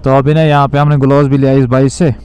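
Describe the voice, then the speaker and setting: A man's voice: medium pitch, level tone, fast, dark tone. A young man vlogging casually while riding a motorbike through city traffic, talking loosely and repetitively to his viewers. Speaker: moto vlogger.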